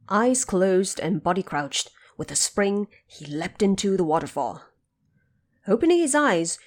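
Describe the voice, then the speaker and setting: A woman storyteller giving an expressive, theatrical narration of a scripted storybook audio drama. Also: lowish voice.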